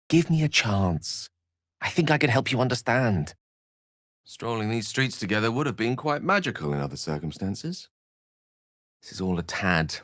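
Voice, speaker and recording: A man's voice: fairly low pitch, a bit bright, dry recording. An actor playing an eloquent, scholarly male wizard with dry wit in a fantasy video game, speaking in articulate, theatrical phrases. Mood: earnest, intense.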